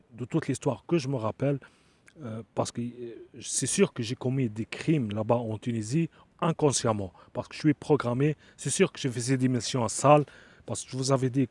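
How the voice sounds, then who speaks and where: Man in a direct-to-camera appeal, insistent but halting, warm low timbre. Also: slightly rough, no echo. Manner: hesitant, halting, many fillers and restarts; earnest and insistent. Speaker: asylum seeker making an appeal video.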